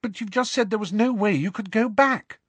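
A man's voice: sits high, moderate pace, a bit bright, husky, dry recording, pitched above the performer's own voice. A man voicing an earnest, anxious teenage schoolgirl who asks urgent questions in an audiobook. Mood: puzzled. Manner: urgent, squeamish, inquisitive.

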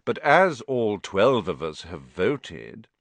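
A man voicing a sneering, smooth aristocrat in an audiobook, with a lazy, smug and menacingly polite manner. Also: on the low side, sing-song, no echo, exaggerated voice. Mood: smooth, threatening. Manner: unhurried, smug, oily politeness. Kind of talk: audiobook character acting.

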